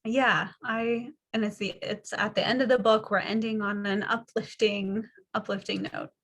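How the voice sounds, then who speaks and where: A woman's voice: medium pitch, little pitch movement, moderate pace, a bit bright, husky. A thirty-year-old woman from Kansas City answering interview questions over a video call, earnest and chatty with frequent fillers. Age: 30s. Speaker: author and baker. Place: home living room.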